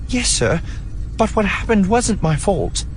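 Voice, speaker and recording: A man's voice: high voice, sing-song, unhurried, slight background noise, pitched above the performer's own voice. A man voicing a nervous young crewman in a space opera audiobook, anxious and defensive, with a flicker of defiance. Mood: wary.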